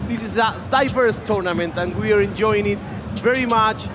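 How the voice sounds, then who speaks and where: High-voiced Argentine man co-commentating. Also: crowd noise. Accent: Argentine Spanish. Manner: slow, friendly, repeats phrases for emphasis. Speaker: esports football co-commentator. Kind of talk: color commentary. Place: live event venue. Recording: loud noise behind the voice.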